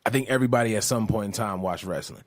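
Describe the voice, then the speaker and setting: A man's voice: lowish voice, rapid-fire. A man trading opinions as a podcast panelist, casual, insistent and jokey as he argues his point.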